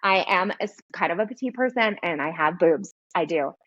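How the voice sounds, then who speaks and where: A woman's voice: lowish voice, brisk, breathy edge, no room sound, lo-fi sound. Woman with a girly voice and slight lisp. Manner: animated, laughs while talking. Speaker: podcast host and business coach. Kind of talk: casual interview chat.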